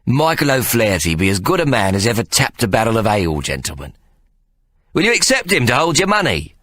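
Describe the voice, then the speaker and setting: A man's voice: mid-range voice, big pitch swings, fairly quick, a bit bright, dry recording, voice pushed up. A man voicing a sly, scheming male showman who announces grandly and wheedles. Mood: grand announcing.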